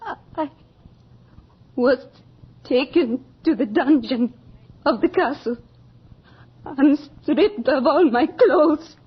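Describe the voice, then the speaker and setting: An actress playing a frightened young peasant seamstress in a radio horror drama, halting, tearful and pleading. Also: female voice, high voice. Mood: fearful, halting. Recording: dull lo-fi old radio recording.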